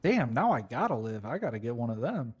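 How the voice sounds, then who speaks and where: A man's voice: mid-range voice, very animated, fairly quick, darkish tone, breathy edge. A man playing a brash, curious criminal defendant in casual online roleplay, slangy and full of questions. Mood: cocky, casual.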